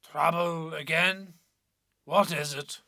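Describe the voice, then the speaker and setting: Man acting a slow, gravelly, pompous Irish priest. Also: fairly even intonation, bright, forceful tone, dry recording, studio quality, heavily acted. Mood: pompous, irritated. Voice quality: deep, grunting. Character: fat, pompous, menacing older Irish parish priest. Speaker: actor in a dramatic reading. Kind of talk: theatrical dramatic reading of literature.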